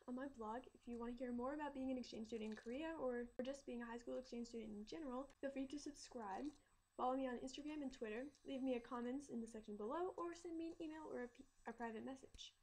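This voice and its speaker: A woman's voice: high-pitched, fast, darkish tone, low-quality recording. A teenage girl talking casually to her vlog viewers, upbeat and chatty, often restarting her sentences. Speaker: vlogger.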